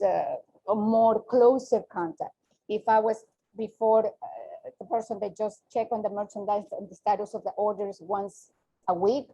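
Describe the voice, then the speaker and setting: Hesitant woman with a mellow voice. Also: mid-range voice, breathy edge, poor audio. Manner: hesitant, many fillers and restarts, soft trailing endings. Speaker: panelist, furniture company owner. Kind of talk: panel discussion talk.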